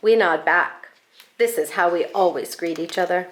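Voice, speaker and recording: A woman reading a children's picture book aloud in an expressive, deliberate storytelling manner. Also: lowish voice, rough recording. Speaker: school staff storybook reader.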